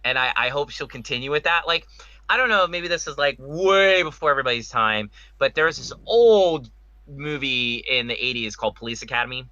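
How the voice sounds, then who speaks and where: Bright-voiced man, very animated delivery. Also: medium pitch, fairly quick, dry recording, dull audio. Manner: enthusiastic, emphatic. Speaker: podcast co-host. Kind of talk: casual chat.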